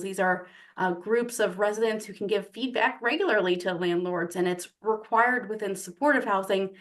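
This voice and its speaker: A woman on a webinar panel, explaining housing practices in a steady manner with frequent fillers. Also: mid-range voice.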